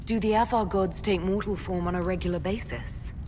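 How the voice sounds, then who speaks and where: A woman voicing an earnest woman leader in video game dialogue, asking measured, inquisitive questions. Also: mid-range voice, steady tone, noisy background, close to an everyday voice. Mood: inquiring. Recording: game audio with reverb and music.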